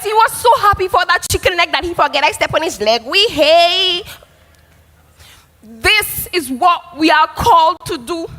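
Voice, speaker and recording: A vain social media influencer, put on by a woman, squealing very high and bright. She gushes, shrieks and fake-cries in a melodramatic livestream show. The voice rings with a long reverb. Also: exaggerated voice. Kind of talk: comedic livestream parody.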